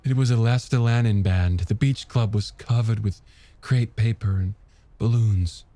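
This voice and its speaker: A man's voice: on the low side, a little slow, mellow, natural voice. A man voicing a wistful, nostalgic married woman in an audiobook, reminiscing with some hesitation. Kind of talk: audiobook character dialogue.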